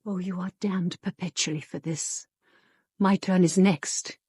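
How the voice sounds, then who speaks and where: Condemned servant girl, Jacobean tragedy, frantic for her life, breathy voice, close dry recording. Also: on the low side, steady tone, unhurried, a little mellow, dry recording. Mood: fearful defiance. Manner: intense, dramatic. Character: desperate condemned young maidservant pleading for life. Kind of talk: Jacobean stage tragedy acting.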